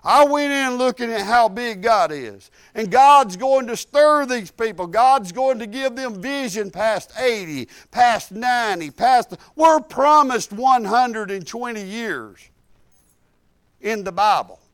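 High-voiced preacher man in his fifties. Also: slow, no echo. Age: 50s. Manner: animated, swinging between loud exhortation and quiet asides. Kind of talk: sermon.

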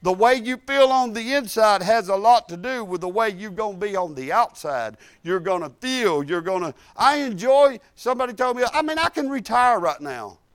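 A man in his late fifties preaching a sermon, animated, rising to loud exhortation and dropping to quiet asides. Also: high-pitched, normal speed, few pauses, no echo. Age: 50s.

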